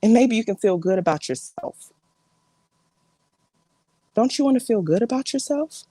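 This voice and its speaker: Fed-up woman confronting a relative, played by an actress, melodramatic and accusing, highly animated pitch, dry recording. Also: normal speed, no room sound. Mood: pleading. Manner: accusing, exasperated. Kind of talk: melodramatic audition monologue.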